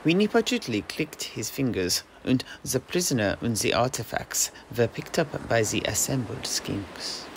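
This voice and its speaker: A man with a gravelly, creaky voice at a middling pitch narrates a fantasy audio play. He tells the tale in dramatic, measured phrases, with faint ambience behind him. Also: very animated, slight background noise, rough recording. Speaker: host, storyteller.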